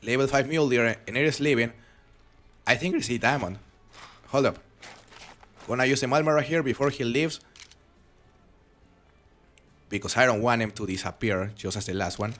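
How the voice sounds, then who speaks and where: A man's voice: medium pitch, brisk, slight background noise. A young man with a foreign accent, live-streaming a hunting game and chatting casually with his viewers. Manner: relaxed, chatty, rambling with frequent restarts. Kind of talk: live game stream commentary reading chat.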